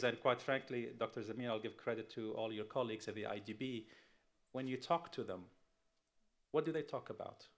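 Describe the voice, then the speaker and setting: A man's voice: medium pitch, fairly bright tone. Emphatic middle-aged man on a panel. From Tunisia. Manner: emphatic, rhetorical questions, many fillers. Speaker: panelist, investment firm CEO. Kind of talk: conference panel talk.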